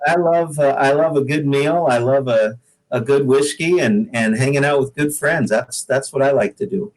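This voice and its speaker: A man's voice: medium pitch, moderate pace, low-quality recording. An American man from the Pacific Northwest talking casually as an interview guest, often repeating words as he goes. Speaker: podcast guest, singer-songwriter.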